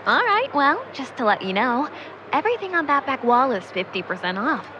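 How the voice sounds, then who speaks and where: High-voiced, chirpy store clerk. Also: female voice, sing-song, fairly quick. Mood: helpful. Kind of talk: audio drama acting. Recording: muffled, distant, with clear ambient noise.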